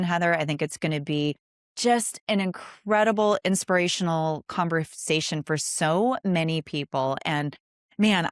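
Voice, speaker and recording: Low female podcast host, effusive, really close dry sound. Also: dry recording. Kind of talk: scripted podcast introduction and interview.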